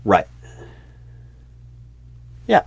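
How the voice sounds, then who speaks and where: A man playing a polite, oblivious recruiting manager who stammers hesitantly and tactfully through a comedic one-sided job interview roleplay. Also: drawn-out words. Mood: awkward, businesslike.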